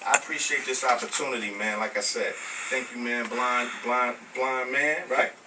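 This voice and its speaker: An American man from Brooklyn performing spoken word poetry live, with emphatic, deliberate phrasing. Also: mid-range voice, fairly even intonation. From Brooklyn, New York, United States. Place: live poetry venue with small audience. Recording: noisy, distant amateur recording of live venue.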